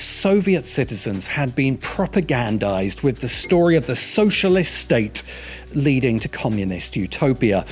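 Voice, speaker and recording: A man's voice: medium pitch, darkish tone. A man narrating a scripted video essay as its host, with dry, sardonic asides.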